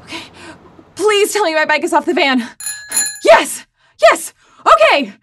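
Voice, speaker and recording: An impulsive, anxious schoolgirl, played by a woman, with a high voice that swings widely in pitch. She is emotional and exclamatory in a modern radio play, lingering over her words, with faint noise behind her. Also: sing-song, a little slow, fairly bright tone, full character voice. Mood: frantic. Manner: emotional, exclamatory, swinging between outbursts and muttering. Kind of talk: modern audio drama acting.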